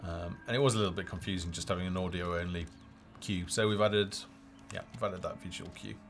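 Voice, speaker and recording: Deep-voiced man presenting a game livestream. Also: fairly even intonation, brisk, slight background noise. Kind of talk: casual live game demo commentary. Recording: music behind the voice.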